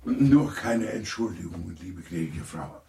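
A man's voice: deep voice, expressive, a little slow, vocal fry, mellow, exaggerated voice. An elderly family doctor for a radio play. He takes his time over each phrase, with a crackle in the voice, and sounds kindly and gallant in an old-fashioned, courtly way. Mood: courteous, gallant. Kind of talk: radio drama acting.

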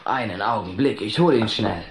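A man's voice: fairly low pitch, moderate pace, reverberant, noisy background, lightly acted. A man playing a hesitant, timid schoolboy who stammers out excuses in a children's radio play. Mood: flustered. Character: hesitant, timid schoolboy, vampire girl's friend.

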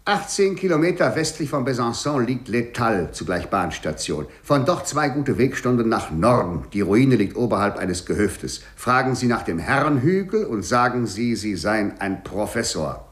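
An actor in a 1950s German radio play, playing a sly, worldly crook in prison who haggles and mocks in a wheedling way. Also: male voice, medium pitch, lively intonation, normal speed, few pauses, natural voice. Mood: bargaining. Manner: wheedling, sardonic, chuckling asides. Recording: 1950s radio drama recording.

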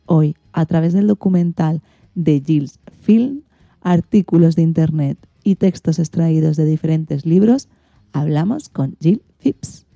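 A woman's voice: low voice, unhurried, creaky, warm tone, dry recording, muffled recording. A woman with an accent from Spain, hosting a radio programme with scripted presenting. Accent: Spanish (Spain). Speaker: radio host.

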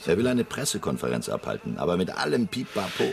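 An actor playing an easygoing junior police constable in a radio drama, deferential and casual in manner. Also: male voice, deep voice, big pitch swings, quick pace, vocal fry, slight background noise, full character voice. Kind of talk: radio drama acting, conversational.

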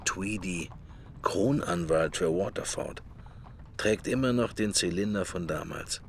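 Wistful middle-aged muser, deep register, clipped inner thoughts, radio drama, slight background noise. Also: male voice, unhurried, gravelly. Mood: musing. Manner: musing in short, clipped fragments. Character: wistful middle-aged man musing inwardly to himself.

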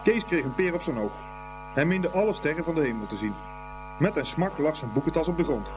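A man's voice: a little high, expressive, brisk. A man narrating a scripted story as the narrator of a radio play. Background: music.

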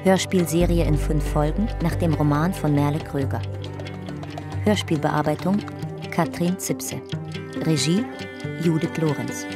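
An actress narrating a radio drama family chronicle in a detached, clipped, documentary manner. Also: female voice, sits low, measured pace, long pauses. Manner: matter-of-fact, clipped, documentary. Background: faint music.

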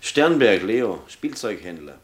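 Tiefer, hartnäckiger Polizeileutnant. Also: male voice, fairly low pitch, sing-song, measured pace, heavy background noise. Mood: insistent. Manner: matter-of-fact, insistent. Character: dogged, sceptical male police lieutenant. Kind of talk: German radio crime drama acting.

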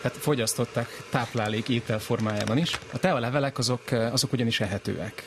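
A man's voice: mid-range voice, background noise. A man explaining things as an expert guest in a radio studio. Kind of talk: explanatory interview talk.

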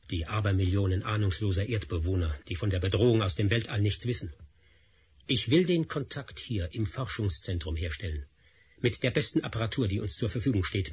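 An actor playing a defiant researcher who coldly threatens his rival in a radio play, with a husky voice. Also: fairly low pitch, full character voice. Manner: cold, threatening, measured. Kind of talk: radio drama acting.

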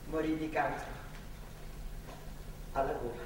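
A woman's voice: low voice, fairly even intonation, slow pace. An actress playing a devout, stern, grandmotherly older woman in a theatrical radio drama, with an earnest, moralizing manner. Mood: reflective. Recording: distant, echoey hall, clearly noisy.

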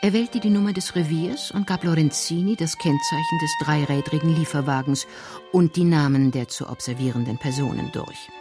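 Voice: mid-range voice, moderate pace. Crime radio play narrator, scripted storytelling, faint music underneath.

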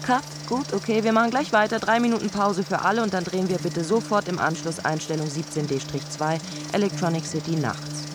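An actress playing a brisk female film director narrating shots in a German radio play. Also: lowish voice, background noise, natural voice. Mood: brisk, commanding.

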